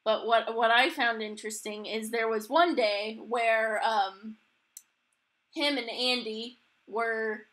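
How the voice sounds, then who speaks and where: A young adult woman hosting a podcast, chatting casually in informal, halting, tentative phrases. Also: fairly high pitch, steady tone, fairly bright tone. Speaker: podcast host. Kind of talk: casual interview chat.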